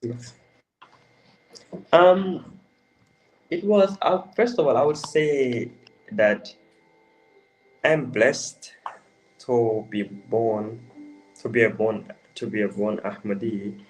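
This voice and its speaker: A young man from the Gambia, answering interview questions in a hesitant, halting way with many restarts. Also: medium pitch, a little hiss or hum, poor audio. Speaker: interview guest.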